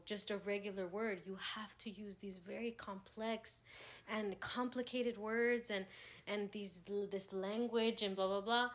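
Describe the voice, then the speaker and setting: A woman co-hosting a podcast in casual chat, animated and teasing, laughing often. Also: medium pitch. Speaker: podcast co-host.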